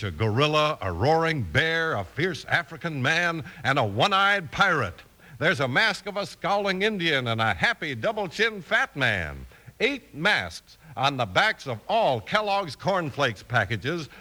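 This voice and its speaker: A man's voice: a little high, bright, forceful tone. An American man announcing in an emphatic, theatrical, scripted 1950s radio style. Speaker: announcer and narrator.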